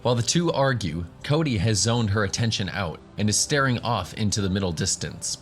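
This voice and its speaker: A man with a low voice narrates a fantasy adventure as game master. His delivery is scripted storytelling, set over faint music. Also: expressive, fairly quick.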